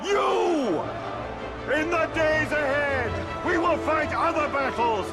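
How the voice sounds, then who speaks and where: A man voicing a commanding warrior leader in a video game, giving forceful, declamatory oratory to an army. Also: very high voice, slow pace, loud music behind the voice, a loud crowd, heavily acted. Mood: rousing, triumphant. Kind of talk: video game character acting.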